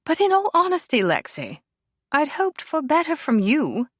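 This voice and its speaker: A woman's voice: sits high, huge pitch swings, normal speed, a bit bright, dry recording, clean studio sound, voice pushed up, exaggerated voice. A woman voicing a flamboyant aristocratic mother, grand and over-the-top. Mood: indignant.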